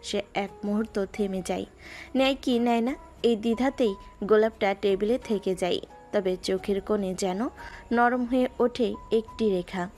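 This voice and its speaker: A woman narrating a romantic audio story in a measured, lyrical way. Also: medium pitch, darkish tone, faint music behind.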